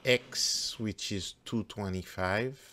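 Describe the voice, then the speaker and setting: A man working through a math problem step by step as a teacher, deliberate and halting phrase by phrase. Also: on the low side, pushed and bright. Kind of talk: step-by-step worked-problem tutorial.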